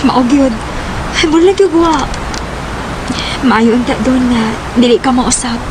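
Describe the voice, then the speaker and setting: Casting: high-pitched shy, devoted young girlfriend, Cebuano accent, radio serial romance. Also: slow pace, background noise. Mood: tender. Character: young sweetheart, shy, devoted, longing for her boyfriend. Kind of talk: Cebuano radio drama acting.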